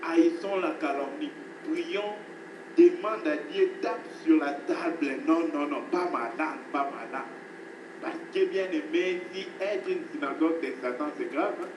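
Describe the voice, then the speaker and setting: A man's voice: fairly high pitch, a little slow. Preaching man in an echoey hall. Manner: emphatic preaching, repeats phrases, addresses listeners as beloved. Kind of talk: sermon. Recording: echoey, distant, noisy hall recording.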